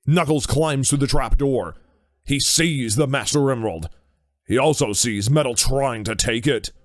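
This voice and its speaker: A man's voice: mid-range voice, a little slow, no room sound, clean studio sound. A man giving scripted narration for an audio drama as its narrator.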